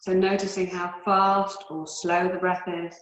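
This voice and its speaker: A woman yoga teacher leading guided relaxation and breathing in a calm, soothing, unhurried way with long pauses. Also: lowish voice. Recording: roomy, echoey indoor sound.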